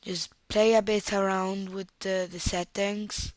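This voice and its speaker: A young woman with a strong Italian accent and a cold walks through a software tutorial, thinking aloud as she clicks. She speaks very slowly in a mid-range voice. The sound is close and dry, with mouse clicks nearby. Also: medium pitch, little pitch movement, dry recording. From Italy. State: has a cold. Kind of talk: screen-recorded software tutorial.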